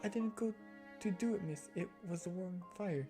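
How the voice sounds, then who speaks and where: A streamer voicing a timid, frightened young scullery maid girl who apologises haltingly in a visual novel. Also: mid-range voice, mellow, faint music behind, lightly acted. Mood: frightened, pleading. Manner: apologetic, halting servant's speech.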